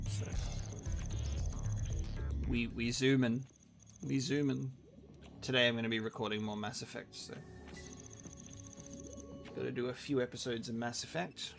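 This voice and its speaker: A man's voice: mid-range voice, vocal fry. A man talking casually as a game streamer, in a rambling, informal way with some casual swearing. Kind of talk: casual live-stream chat and game reaction.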